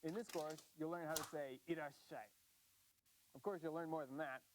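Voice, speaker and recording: An American man hosting a language course, presenting in an upbeat scripted way with slow, careful enunciation. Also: a little high, fairly even intonation, slight background noise, rough recording. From United States.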